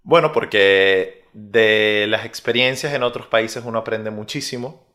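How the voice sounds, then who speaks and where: Man, Venezuelan Spanish accent, young adult, low voice, measured, filler pauses, interview guest. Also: pushed and bright. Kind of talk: interview answers.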